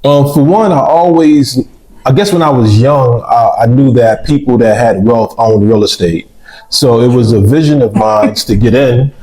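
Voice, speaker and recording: Interview guest, man in his forties, warm low-key delivery, storyteller. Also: normal speed, poor audio. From United States. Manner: relaxed storytelling with frequent "right?" asides. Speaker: interview guest, real estate developer. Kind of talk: casual interview.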